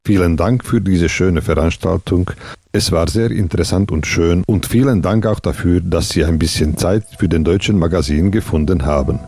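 A man hosting a radio interview, asking calm, measured questions. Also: deep voice, slightly rough, dry recording.

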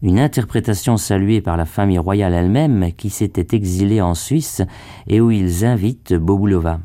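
A man presenting a scripted radio feature, reading in a measured, formal way. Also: sits low, warm tone, no echo, clean studio sound.

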